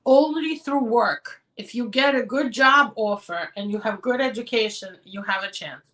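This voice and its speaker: A woman immigration attorney hosting a live question-and-answer show, reading viewer questions and answering them directly while her voice is going hoarse. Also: a little high, fairly bright tone, distant mic, poor audio. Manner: direct and advising, with quick practical answers.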